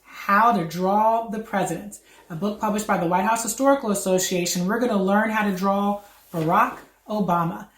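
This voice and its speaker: A woman's voice: mid-range voice, normal speed, hardly any pauses. A woman hosting a children's activity, quizzing a child and encouragingly confirming each answer. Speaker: host of a children's read-aloud. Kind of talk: educational chat, quizzing a child. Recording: echoey room.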